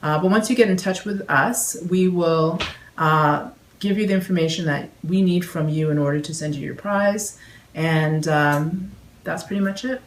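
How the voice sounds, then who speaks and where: A woman with a Canadian accent, her voice sitting a little low, chatting casually with lots of fillers. She is recorded at a dining table at home by a camera some distance away, in an echoey room with faint hiss. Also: moderate pace. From Toronto. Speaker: vlog host. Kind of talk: casual announcement. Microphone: camera across the table.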